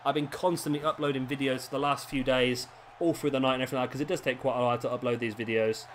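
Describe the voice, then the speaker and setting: A man commentating a wrestling video game play-by-play, a little hoarse, chatty between moves and excited on the pins. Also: mid-range voice, rapid-fire, noisy background. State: slightly hoarse, losing his voice.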